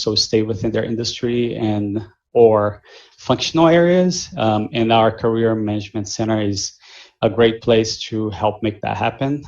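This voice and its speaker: A man with a Brazilian Portuguese accent and a low, gravelly voice walks prospective students through admissions. He talks in measured stretches full of small fillers and restarts. The video call audio sounds dull and muffled. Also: darkish tone. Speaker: admissions director. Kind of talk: answering questions in a virtual info session.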